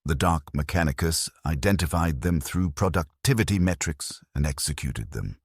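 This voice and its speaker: A man narrating a scripted, atmospheric story in a somber, grave, gravelly voice. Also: extremely low pitch, lively intonation, normal speed, no echo, studio quality.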